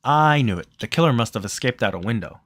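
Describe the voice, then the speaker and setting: A man's voice: mid-range voice, expressive, fast talker, fairly bright tone, no room sound, clean studio sound. A man hosting a playthrough, reading the game's story aloud and commenting casually on it. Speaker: let's play host reading the game's text aloud.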